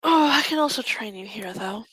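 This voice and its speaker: A woman's voice: on the high side, lively intonation, brisk, a bit bright, husky, no echo, low-quality recording. A woman voicing a confident female werewolf who hosts newcomers to her pack, speaking in a matter-of-fact, dryly knowing way. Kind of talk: in-character roleplay.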